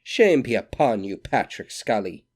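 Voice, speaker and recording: A man's voice: lowish voice, big pitch swings, measured pace. A man voicing a sternly reproachful mother who cries out in scolding. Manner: crying out reproachfully.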